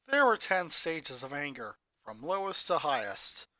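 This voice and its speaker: Bright, high-voiced male story reader. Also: very animated, measured pace, no room sound. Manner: dramatic, building intensity. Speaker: fanfic reader. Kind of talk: dramatic story reading.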